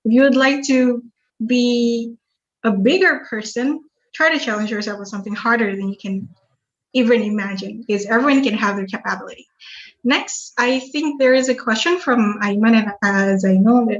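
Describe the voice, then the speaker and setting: A woman moderates a webinar, chatty and friendly. Her voice sits a little high. The video call audio sounds dull and lo-fi. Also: normal speed. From Indonesia. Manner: friendly and chatty, reads out audience questions.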